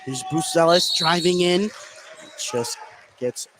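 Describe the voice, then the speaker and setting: A man's voice: on the high side, takes it slowly, a bit bright, low-quality recording. A man giving live play-by-play basketball commentary on the radio, narrating the action in running, conversational fragments. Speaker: play-by-play announcer. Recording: clear ambient noise behind commentary.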